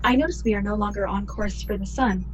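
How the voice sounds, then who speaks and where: A woman playing a maternal, formal starship artificial intelligence in a sci-fi radio play, speaking in precise, measured sentences. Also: mid-range voice, a little mellow, lightly acted. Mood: calm, precise. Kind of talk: science fiction radio play acting.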